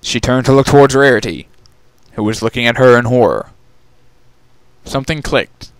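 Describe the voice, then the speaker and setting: Lively dramatic story narrator, dry recording. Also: mid-range voice, moderate pace, no echo, rough recording.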